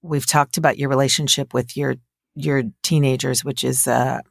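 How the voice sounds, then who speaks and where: A woman's voice: rumbling low, level tone, moderate pace, creaky, dry recording, studio quality. A woman hosting a podcast, interviewing a guest in a conversational way.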